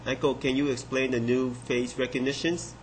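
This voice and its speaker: A man in his thirties giving a halting, unscripted software demonstration as its developer. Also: medium pitch, level tone. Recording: clearly noisy room recording.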